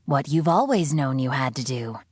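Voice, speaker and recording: A woman's voice: a bass voice, lively intonation, moderate pace, slightly rough, no room sound, exaggerated voice. A woman voicing a condescending, sanctimonious captor who interrogates a prisoner in an audiobook. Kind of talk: audiobook character acting.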